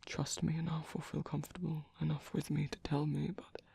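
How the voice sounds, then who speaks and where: Man acting as a breathy, husky whispering gentle vampire priest. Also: fairly high pitch, completely flat delivery, exaggerated voice. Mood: reassuring, tender. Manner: slow, hesitant, tender, reassuring. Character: gentle, caring male vampire priest, soothing and protective. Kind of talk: whispered ASMR roleplay.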